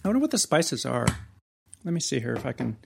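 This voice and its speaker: Gravelly-voiced man co-hosting a beer chat. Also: medium pitch, big pitch swings, quick pace, noisy background. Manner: jokey, reads labels aloud. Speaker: podcast co-host. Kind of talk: casual chat.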